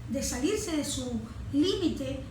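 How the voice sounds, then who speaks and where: Woman, Venezuelan accent, high voice, slow halting explanations, interview guest, heavy room echo. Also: animated. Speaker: guest, environmental consultant. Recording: very echoey room, faint noise.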